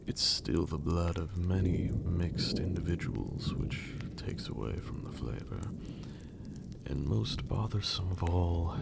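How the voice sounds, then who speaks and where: A man acting as a haughty vampire lord in an ASMR roleplay. His voice sits very low and crackles with gravelly fry, often slipping into breathy whispers. He takes his time, drawling formal, condescending lines with a teasing, gentlemanly polish. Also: heavily acted. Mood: smug, menacing. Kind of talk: ASMR roleplay, intimate and scripted.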